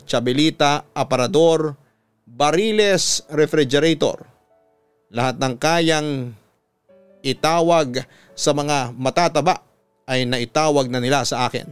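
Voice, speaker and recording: A Filipino man, a radio announcer, reading a listener's letter dramatically with drawn-out emphasis on key phrases over background music. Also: a little high, clear, resonant tone, no echo. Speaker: radio announcer and story reader. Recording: music bed behind the voice.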